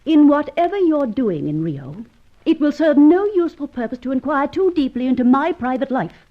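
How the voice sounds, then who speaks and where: A woman's voice: high voice, very animated, normal speed, phone-quality sound. An actress playing a cool, haughty, guarded woman in a radio drama, speaking formally and precisely. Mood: cold, guarded. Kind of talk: old-time radio drama acting.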